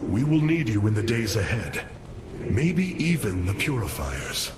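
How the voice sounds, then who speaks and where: Solemn alien leader over loud noise. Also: male voice, lowish voice, very animated. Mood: earnest, grave. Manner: formal, earnest, measured. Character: solemn, honorable young male alien leader. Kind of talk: video game cutscene acting.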